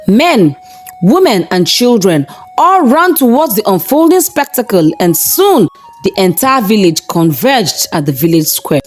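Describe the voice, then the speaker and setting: A woman storyteller reading a scripted folktale with measured, suspenseful phrasing and formal, dramatic delivery. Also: medium pitch, darkish tone.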